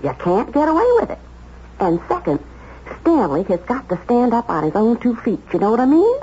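A woman's voice: on the high side, sing-song, brisk, warm tone. An actress playing a brassy, meddling cleaning woman in a 1970s radio drama, slangy and blunt as she hands out advice. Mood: urgent, needling. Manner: slangy, blunt, wheedling know-it-all. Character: brassy, streetwise, meddling cleaning woman, woman married to an older man. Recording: dull vintage radio recording, faint noise.

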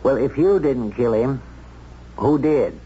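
A man playing a calm, skeptical sheriff in a 1970s radio drama, questioning in a measured, probing way. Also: medium pitch, breathy edge. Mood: skeptical, dry. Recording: dull, hissy vintage radio recording.

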